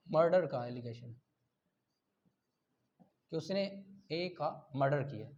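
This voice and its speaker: Male law lecturer, Pakistani accent, high voice, didactic delivery that checks for understanding, on a dull lo-fi recording. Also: warm tone. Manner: didactic, checks understanding often, switches between Urdu and English. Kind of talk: classroom lecture, reading statutes aloud and explaining them.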